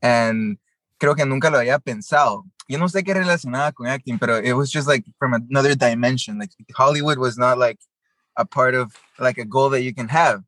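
A man's voice: medium pitch, normal speed, a bit bright, dry recording. A young man from Guatemala, telling his story as an interview guest and mixing with English. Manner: switches between Spanish and English mid-sentence, many fillers.

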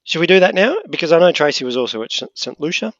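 A man's voice: a little high, sing-song, quick pace, no room sound, highs cut off. A man co-hosting a podcast, affirming and enthusiastic in casual chat. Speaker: podcast co-host.

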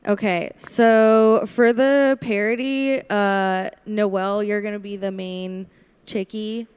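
A woman with a clear, smooth voice at a medium pitch hosts a podcast. She talks in a casual, chatty way to a group of performers. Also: fairly even intonation, slow, darkish tone. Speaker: podcast host. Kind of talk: casual group chat and casting announcements.

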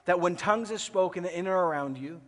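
A fairly high-voiced man preaching in a large auditorium, his words trailing into a long hall reverb. He grew up in Ecuador and talks to the congregation in a lively, conversational way, slipping into quick acted asides. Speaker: pastor. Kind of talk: sermon.

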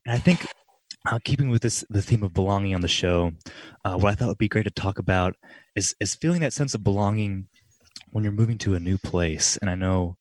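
A man's voice: fairly low pitch, a little mellow, dry recording. A young man from Middle Tennessee hosting a faith radio talk show, friendly and casual, with frequent "uh" fillers. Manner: friendly, fills pauses with "uh", laughs easily.

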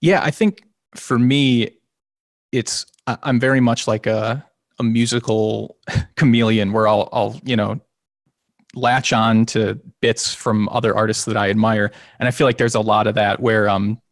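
Relaxed, slightly nasal man musician. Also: fairly low pitch, measured pace, no room sound, clean studio sound. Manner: relaxed and rambling, with many restarts and small laughs. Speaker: singer and guitarist of a band. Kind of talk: casual interview conversation.

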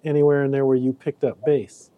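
A man hosting a casual interview chat, asking relaxed, curious questions. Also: medium pitch, fairly quick, narrow, tinny audio. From Pontiac, Michigan. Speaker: host.

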